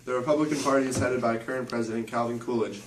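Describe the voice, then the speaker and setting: A man's voice: mid-range voice, steady tone, rapid-fire. A man reading scripted news as co-anchor of an amateur newscast. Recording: echoey, noisy room, distant mic.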